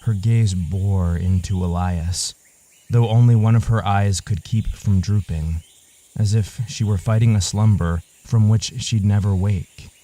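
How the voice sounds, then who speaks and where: A man narrating a scripted literary audio story in a measured, solemn manner. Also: fairly low pitch, dark tone.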